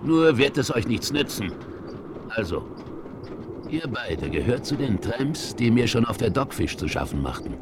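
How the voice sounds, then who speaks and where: A man's voice: sing-song, measured pace. An actor playing a stern, dryly ironic western frontiersman hero in a 1970s radio play. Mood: cool, threatening. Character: commanding, coolly mocking western frontiersman hero. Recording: noisy vinyl radio play, vehicle rumble.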